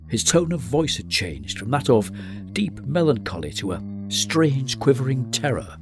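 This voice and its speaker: Gothic radio drama narrator: solemn literary gentleman, lowish and very expressive, over prominent music. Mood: ominous. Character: melancholy gentleman narrator recalling his friend's descent into madness.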